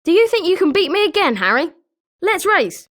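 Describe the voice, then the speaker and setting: A woman's voice: very high voice, big pitch swings, moderate pace, fairly bright tone, exaggerated voice. A woman with a British accent voicing an eager, sporting schoolboy wizard with chirpy, emphatic delivery in a video game. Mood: eager, challenging. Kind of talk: scripted video game character lines.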